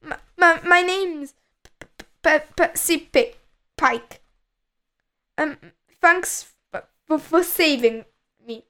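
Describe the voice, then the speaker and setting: Young boy played by a woman, very high voice, nervous and earnest, children's adventure tale. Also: very animated, takes it slowly, bright, forceful tone, full character voice. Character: timid, hopeful young boy out fishing among pirates. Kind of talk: children's storybook character voice.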